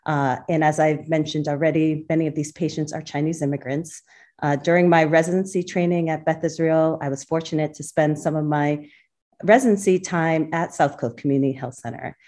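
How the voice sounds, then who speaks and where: A woman's voice: low voice, steady tone, a little fast, darkish tone. A woman obstetrician-gynecologist from Boston, answering interview questions thoughtfully with frequent fillers. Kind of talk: podcast interview.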